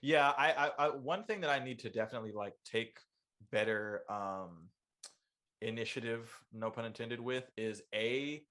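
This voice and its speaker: A man playing in a tabletop game, talking casually and excitably with lots of fillers and restarts. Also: medium pitch. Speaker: player. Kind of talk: casual post-game chat.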